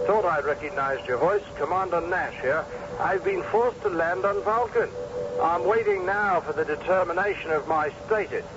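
An actor playing an anxious spaceship commander reporting over a radio link in an old-time radio drama. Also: male voice, sits high, normal speed, close to an everyday voice. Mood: uneasy, reporting. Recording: dull, very noisy old radio recording.

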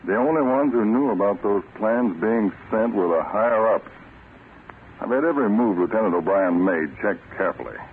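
Radio drama: calculating male intelligence chief, low voice, authoritative, thin vintage sound. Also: lively intonation, normal speed. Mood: businesslike. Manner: businesslike. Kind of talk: old-time radio drama acting. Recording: tinny vintage radio recording, light noise.

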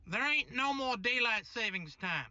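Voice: medium pitch, expressive, measured pace, sharp tone, dry recording, muffled recording. An actor playing a brash, cocky, tipsy American man in a radio play, slangy and boisterous. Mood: cocky, argumentative. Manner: slangy, boisterous, repeats himself. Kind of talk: old-time radio drama acting.

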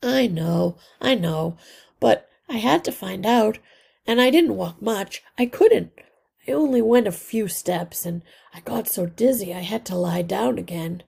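A woman voicing a thoughtful, sickly young mouse boy in a children's storybook, earnest and calm. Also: mid-range voice, gravelly, husky, voice pushed up, heavily acted. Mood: serious, calm. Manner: earnest, measured explaining. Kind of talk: children's storybook read-aloud.

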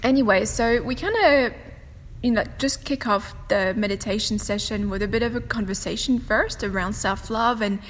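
A woman whose first language is French, hosting a casual solo podcast and talking quickly, with frequent laughter. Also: on the high side. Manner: fast-talking, chatty, laughs often. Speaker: podcast host and meditation teacher. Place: home room with a fireplace on a windy day. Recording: long room reverb, faint wind whoosh. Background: faint wind noise from the fireplace.

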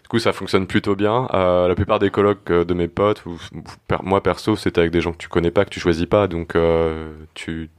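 Podcast guest, man, deep smooth voice, relaxed and halting delivery. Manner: hesitant, many fillers and restarts. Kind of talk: casual chat.

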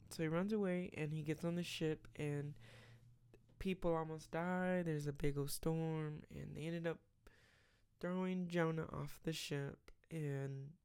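Raspy, tired podcaster with gravelly fry. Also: mid-range voice, a little mellow. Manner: rambling, many fillers and restarts. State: tired, late at night. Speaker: podcast host. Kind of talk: casual faith podcast with prayer.

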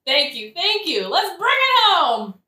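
A woman's voice: very high-pitched, very animated, unhurried, bright, forceful tone, heavily acted. A woman playing a grief-mad, theatrical young woman who rambles and bursts into song in a satirical audio play. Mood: giddy showbiz cheer. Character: grief-mad young woman, manic, singing, mock showbiz cheer. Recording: echoey room.

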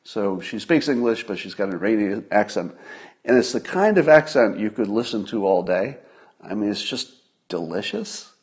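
A man hosting a solo livestream, giving casual political commentary in a conversational, emphatic manner with pauses for effect. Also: lowish voice, sing-song, slightly rough.

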